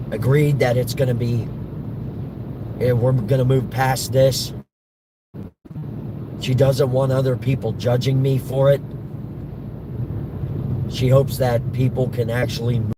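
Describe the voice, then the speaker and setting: Hoarse man talking over loud car noise. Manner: halting, restarts often, defensive. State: hoarse, voice worn out. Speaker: live streamer answering chat. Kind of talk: casual chat to viewers while driving. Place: in a moving car. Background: road and engine rumble.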